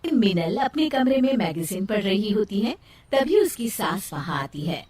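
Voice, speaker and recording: A woman's voice: mid-range voice, sing-song, measured pace, a little mellow, far from the mic. A woman narrating a scripted storybook tale.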